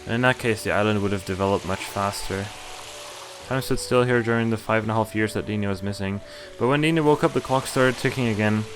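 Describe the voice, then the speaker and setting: Low-voiced man narrating a visual novel over music. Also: quick pace. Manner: reads story text aloud with casual commentary. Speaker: streamer reading a visual novel aloud. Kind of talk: game playthrough narration, first-person reading with casual asides. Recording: game music behind the voice. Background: game music.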